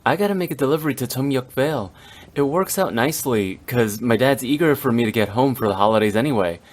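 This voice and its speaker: A man voicing an upbeat, friendly young delivery courier in a video game, talking in a casual, energetic way. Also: medium pitch, fast, lightly acted. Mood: breezy.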